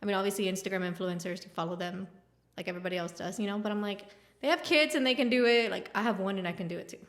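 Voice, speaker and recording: A woman in her late twenties talks quickly as a podcast guest. She is chatty and frank, with animated, candid delivery. Her voice sits at an everyday pitch for a woman. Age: late 20s. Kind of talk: casual chat.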